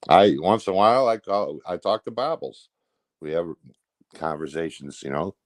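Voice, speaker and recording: Low-voiced man, rambling and defensive. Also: lively intonation, normal speed, no echo. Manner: rambling, peppered with "you know". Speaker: interview guest. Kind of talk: casual, defensive explanation.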